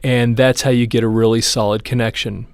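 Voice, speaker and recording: A man's voice: medium pitch, flat delivery, a little fast, darkish tone, clean tone, no room sound, studio quality. A man presenting a hands-on repair tutorial, explaining each step in an informal, plain-spoken way. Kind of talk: casual hands-on how-to demonstration.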